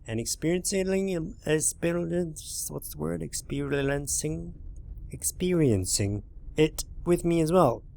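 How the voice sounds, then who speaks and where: A man's voice: fairly high pitch, takes it slowly, gravelly, a little mellow. A man hosting a let's play, talking casually and informally in a rambling way, searching for words as he signs off. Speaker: let's play host reading a visual novel. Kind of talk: casual video commentary and sign-off. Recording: music behind the voice. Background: game music.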